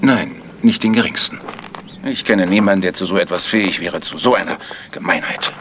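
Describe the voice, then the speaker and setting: Man playing a protective village music teacher in a radio play, earnest lowish voice, faint hiss. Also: a little fast, natural voice. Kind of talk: German radio mystery acting.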